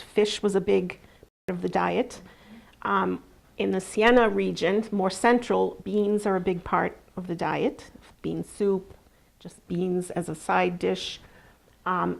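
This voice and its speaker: A woman's voice: medium pitch, vocal fry. A middle-aged woman who explains things in a matter-of-fact way in an interview. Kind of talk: casual interview.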